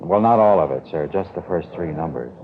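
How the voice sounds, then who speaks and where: A man's voice: sits low, animated, a little fast, faint music behind. A man acting a polite, earnest, stubborn bank guard in a 1950s radio drama. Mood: confiding, earnest. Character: deferential but stubborn male bank guard. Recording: noisy, tinny vintage radio recording.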